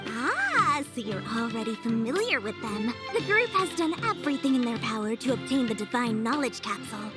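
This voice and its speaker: A woman voicing a small, shrewd female merchant in a game, theatrically polite with flattering sales patter. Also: a little high, a little fast, few pauses. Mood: sly, businesslike. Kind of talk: video game cutscene acting. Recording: music behind the voice.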